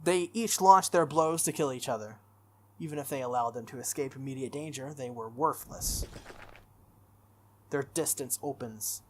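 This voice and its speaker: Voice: mid-range voice, bright, forceful tone, slight background noise. A playthrough host reading visual novel text aloud, with enthusiastic, gushing asides. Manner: enthusiastic, gushing asides between read narration. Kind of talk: reading game text aloud with excited commentary. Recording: background game music. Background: game music.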